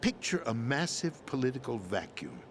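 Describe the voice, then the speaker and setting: An actor playing a disillusioned older president in a video game, speaking in a weary, theatrical, emphatic way. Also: male voice, medium pitch, big pitch swings, normal speed, slight background noise, close to an everyday voice. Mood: grave, emphatic. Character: disillusioned older male president, bitter and power-hungry. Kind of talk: dramatic video game cutscene acting.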